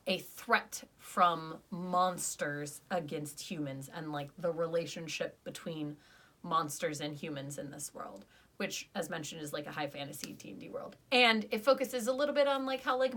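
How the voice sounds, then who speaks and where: A young woman co-hosting a podcast, chatting casually and getting animated when she rants, with lots of "like" fillers. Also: on the low side. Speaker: podcast co-host. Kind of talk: casual podcast review chat.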